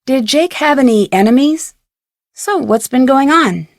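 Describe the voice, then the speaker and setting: High-voiced inquisitive teen girl detective. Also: animated, a little slow, dry recording, clean studio sound. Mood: curious. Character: inquisitive, polite teenage girl amateur detective. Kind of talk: scripted adventure game voice acting.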